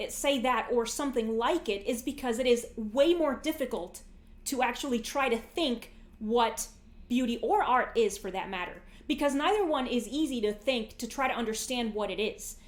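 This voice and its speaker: A woman's voice: fairly high pitch, moderate pace. A woman in her forties hosting a podcast, talking casually and philosophically, often rephrasing mid-thought in a rambling way. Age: 40s. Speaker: podcast host. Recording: roomy, echoey sound.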